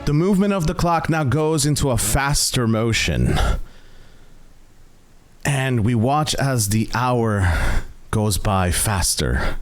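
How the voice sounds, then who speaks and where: A man working as game master, narrating a tabletop game in a theatrical, suspenseful, cinematic style with deliberate pauses. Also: medium pitch, animated, faint music behind.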